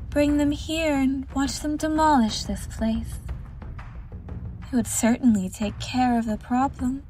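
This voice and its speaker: A woman's voice: a little high, a little mellow. A woman voicing a haughty, contemptuous immortal mermaid empress in a fantasy audio drama, imperious and theatrical. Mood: smug menace.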